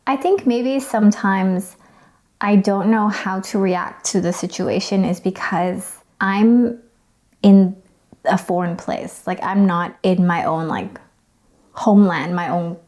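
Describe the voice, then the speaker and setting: A woman whose mother tongue is Cantonese co-hosts a relaxed conversation. She talks things through reflectively, pausing and restarting as she weighs her words. Her voice sits at an ordinary, middle pitch. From China. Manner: thoughtful, hesitant, reflective. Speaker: podcast co-host. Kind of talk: casual conversational podcast.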